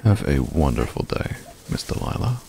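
A man performs a menacing gentleman stranger in a fantasy audio drama. His voice is deep and swoops expressively, with a gravelly edge. He stays courteous while he taunts. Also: big pitch swings, normal speed, exaggerated voice. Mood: courteous, pleasant. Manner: polite, drawling, taunting. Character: smooth menacing male stranger, falsely courteous villain. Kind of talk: fantasy audio roleplay acting.

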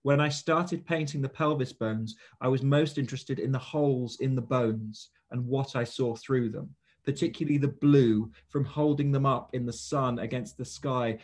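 A man in his thirties speaking on a video call from his living room, musing in a measured way during a literary conversation. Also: mid-range voice, darkish tone. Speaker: author in panel conversation.

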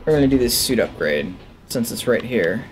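A man's voice: medium pitch, expressive, moderate pace, slight background noise. A man giving casual, offhand gameplay commentary, musing aloud to himself into a headset mic. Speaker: gaming let's player. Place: indoors at a desk.

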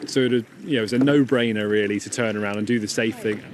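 A man's voice: fairly low pitch, steady tone, quick pace, noisy background. A man from the kayak team answering interview questions outdoors in a thoughtful, reflective way. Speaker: expedition team member.

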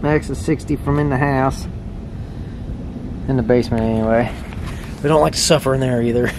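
A man's voice: mid-range voice, fairly even intonation, normal speed. A man chatting casually as he works, with a folksy, joking, self-deprecating, slangy way of talking. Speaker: host of a DIY outdoors build vlog. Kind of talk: casual talking to camera while working. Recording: distant mic with clear background noise.

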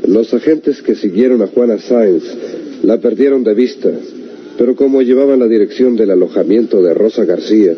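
A man's voice: fairly low pitch, normal speed, close to an everyday voice. A Mexican man playing a stubborn, self-assured government lawyer in a radio serial, speaking formally and emphatically. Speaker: actor in a radio drama. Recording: muffled old radio recording, faint noise.

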